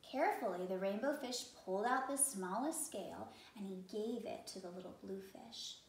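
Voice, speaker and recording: A woman in her thirties, a teacher reading a children's story aloud expressively, measured, with pauses for effect. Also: medium pitch, slight background noise. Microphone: camera a few feet away. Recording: roomy, somewhat distant microphone.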